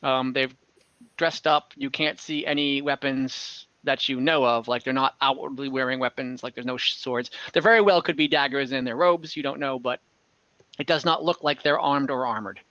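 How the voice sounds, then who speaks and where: A man acting as game master in an online game, narrating off the cuff in a halting, conversational way. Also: mid-range voice, sharp tone, dry recording, lo-fi sound. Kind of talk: improvised narration and table talk in an online tabletop game.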